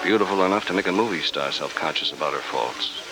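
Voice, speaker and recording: An actor playing a hard-boiled American adventurer in 1940s radio style, clipped, tough and wry. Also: male voice, a bass voice, fast, loud music behind the voice. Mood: wry narrating. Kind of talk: 1940s radio acting, scripted first-person narration. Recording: very noisy 1949 radio transcription.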